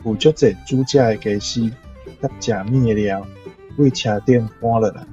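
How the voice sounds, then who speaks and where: A Taiwanese man with a mellow, mid-pitched voice reads example translations as a teacher. The recording is a little dull and lo-fi. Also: normal speed, soft background music. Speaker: language teacher. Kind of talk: model translation read aloud. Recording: slightly dull, lo-fi sound.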